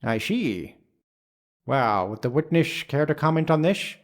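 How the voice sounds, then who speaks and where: A man's voice: a little high, expressive, a little slow. A man playing a pompous, easily baffled old judge in a courtroom game, speaking formally and ponderously. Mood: pondering. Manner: formal, ponderous, puzzled questioning. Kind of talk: dubbed courtroom game acting.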